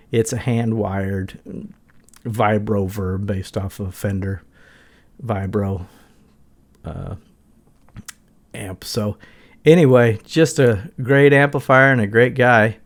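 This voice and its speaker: A middle-aged man giving a casual walkthrough as a guitar gear reviewer. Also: fairly low pitch.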